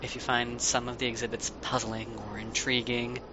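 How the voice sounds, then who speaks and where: A man's voice: medium pitch, level tone, moderate pace, lightly acted. A man playing a courteous fey exhibition host, giving a formal, polite scripted welcome. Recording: clearly noisy recording.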